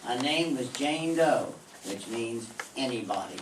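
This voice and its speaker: A hard-boiled private eye, played by an older man in an old-time radio drama, dry and sardonic. His voice sits mid-pitched and comes from across a reverberant room, with a faint hiss underneath. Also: lively intonation, measured pace, far from the mic, natural voice. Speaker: amateur radio play performer. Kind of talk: 1940s radio acting.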